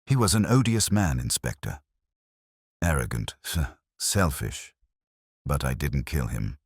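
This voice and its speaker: A man's voice: a bass voice, big pitch swings, slow, husky, no room sound, studio quality, exaggerated voice. A man voicing a composed, bitter middle-aged woman who speaks in a cool, cutting way.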